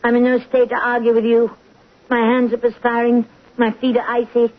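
A nervous, scheming widow, played by an actress in an old radio drama, talking in a flustered, theatrical rush. Her voice sits somewhat high. The recording is tinny and narrow like an old broadcast. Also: monotone, moderate pace, lightly acted. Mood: tense. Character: nervous scheming rich widow, middle-aged.

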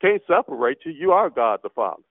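A man leads a fervent spoken prayer, his voice sitting somewhat high for a man. He speaks in impassioned, repetitive bursts full of hallelujahs. The sound is thin and tinny, as over a telephone, with a dry, close sound. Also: very animated, brisk, no room sound. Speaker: prayer line leader. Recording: tinny phone line, dry.

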